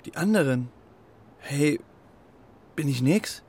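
A man playing an easygoing, teasing pigeon pal in a children's radio play, with gravel in the voice and a clipped, offhand manner. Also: a little high, expressive, slow, darkish tone, full character voice.

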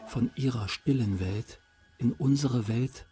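A man, a composer, narrating his thoughts on his work in a measured, reflective way. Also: mid-range voice, mellow, a little hiss or hum. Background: music.

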